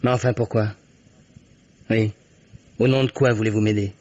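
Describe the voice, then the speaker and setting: An actor playing an anxious, honourable man on the run in a French radio mystery, husky and earnest, on a dull old recording. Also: lowish voice, steady tone, normal speed, darkish tone, lightly acted. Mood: wary.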